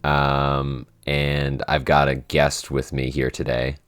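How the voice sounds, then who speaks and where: Super deep voiced guy hosting a podcast q&a, lots of ums and restarts, laid back chat. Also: completely flat delivery, slow, a bit bright, clean tone, faint music behind. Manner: hesitant, many restarts and fillers, laughs easily. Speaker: podcast head writer and editor, co-host.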